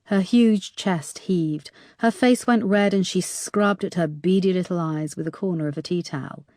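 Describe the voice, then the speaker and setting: A woman reading a thriller audiobook in an even, measured way. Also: on the low side, no room sound, studio quality. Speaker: audiobook narrator. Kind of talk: scripted narration.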